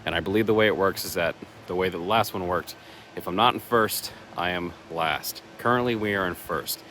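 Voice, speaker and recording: A man with a very low voice films himself outdoors and chats to camera, rambling with fillers and poking fun at himself. His voice is a little raspy, and faint outdoor noise sits behind him. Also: a little fast, a bit bright. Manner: chatty, self-deprecating, rambling with fillers. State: slightly raspy voice. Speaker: horror contest vlogger. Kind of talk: casual vlog to camera. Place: outdoors in woods. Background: faint leaf blower and vehicle noise.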